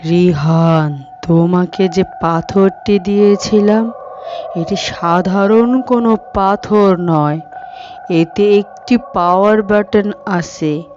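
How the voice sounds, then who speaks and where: Slow, solemn blue whale voiced by a woman. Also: medium pitch, steady tone, darkish tone, voice pushed down, natural voice. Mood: solemn, instructive. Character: mysterious talking blue whale in a boy's dream. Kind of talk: children's cartoon dialogue. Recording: muffled sound with music behind.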